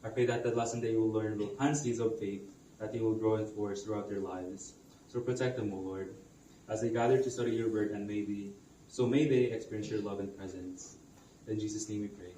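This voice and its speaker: Low-voiced man praying in an echoey hall. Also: fairly even intonation, brisk, dark tone. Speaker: church service leader. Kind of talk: announcements and spoken prayer. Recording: distant mic, echoey hall, background noise.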